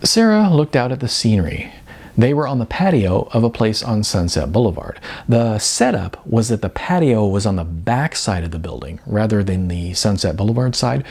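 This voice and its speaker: A man's voice: on the low side, vocal fry, a little mellow, slightly rough. A man narrating as an author, reading from his own fiction. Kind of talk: scripted audiobook-style narration.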